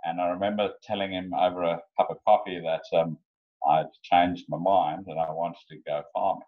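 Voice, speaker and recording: A man's voice: sits low, steady tone, normal speed. A middle-aged man with a South African accent and an Afrikaans mother tongue, telling his life story as an interview guest, hesitant with many ums. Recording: dull lo-fi audio.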